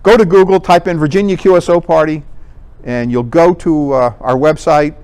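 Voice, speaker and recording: A man's voice: a little high, steady tone, moderate pace, a little mellow, smooth. A man giving an informal, explanatory slide presentation to a group in a conversational way. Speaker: presenter at an amateur radio club talk.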